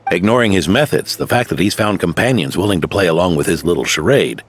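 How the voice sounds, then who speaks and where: Older veteran knight, deep voice, lively intonation, gentle, sighing, reflective. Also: male voice, quick pace. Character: aging veteran knight, weary and kindly. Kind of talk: video game cutscene dialogue.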